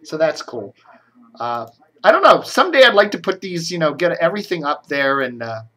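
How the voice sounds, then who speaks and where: Rambling, chatty man with a higher-pitched voice. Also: very animated, moderate pace, sharp tone, a little hiss or hum. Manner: rambling, chatty, breaks off and thinks aloud. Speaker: host of a local radio and TV news web show. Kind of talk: casual off-the-cuff commentary.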